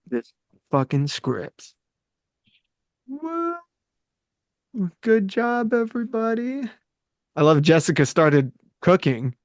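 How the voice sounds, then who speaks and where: A man, the writer of the script, reading stage directions in a brisk, joking and sweary way. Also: on the high side, no room sound. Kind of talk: casual table-read narration.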